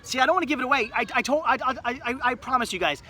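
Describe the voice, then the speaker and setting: A man's voice: very high voice, animated, racing, pushed and bright, a faint crowd. A man in his late thirties hosting a livestream outdoors, chatting casually and rambling as he reads viewer comments with self-mocking humor. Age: 30s. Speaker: livestream host. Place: outdoors at a resort.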